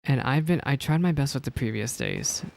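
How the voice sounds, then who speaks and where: Creaky-voiced man streaming a game. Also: mid-range voice, huge pitch swings, normal speed, dry recording. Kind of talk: casual gameplay reaction commentary. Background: soft video game music.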